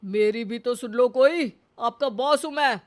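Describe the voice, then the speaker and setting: A woman's voice: a little high, a little slow, close to an everyday voice. A woman voicing an exasperated office boss in a comic cartoon story, formal and trying to stay polite while getting flustered. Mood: exasperated, pleading.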